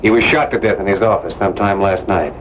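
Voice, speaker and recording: A man's voice: sits low, quick pace, lo-fi sound, close to an everyday voice. Man playing a stern, weary western outlaw. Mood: accusing. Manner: blunt, serious, terse. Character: stern, weary rancher turned outlaw, fed up. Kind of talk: old-time radio western acting. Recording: noisy old radio drama recording.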